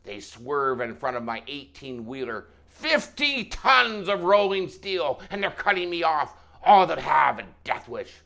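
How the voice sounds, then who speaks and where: A man's voice: mid-range voice, a little slow. A man telling a story, voicing a middle-aged trucker who rants in a commanding, emphatic way. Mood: agitated ranting. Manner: commanding, emphatic, increasingly animated. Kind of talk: dramatized anecdote in spoken storytelling.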